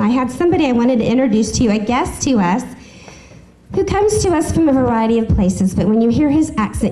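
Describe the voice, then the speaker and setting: A woman hosts a live meeting, speaking in a chatty, informal way. Her voice is fairly high with a soft-edged, mellow tone. There is faint noise behind her. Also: rough recording. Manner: chatty, addressing a group. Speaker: chamber of commerce host. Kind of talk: informal announcements to a business meeting.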